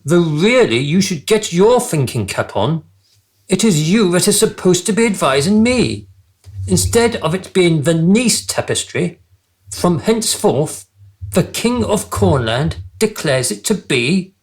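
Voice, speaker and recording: A man's voice: high-pitched, exaggerated voice. An actor playing a childish, vain and callous king in a comic radio play, pompous and petulant. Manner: pompous, petulant, theatrically posh phrasing.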